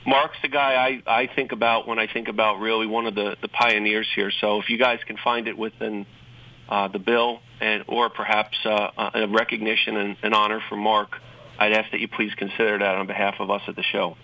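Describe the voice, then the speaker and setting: A man with a New York accent talks in a bright, forceful tone as a guest on a talk panel. He keeps things chatty and drops joking asides, restarting phrases often. His voice comes from a little way off the mic. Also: fairly low pitch, flat delivery, a little fast, distant mic, low-quality recording. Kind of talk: conversational talk show remarks.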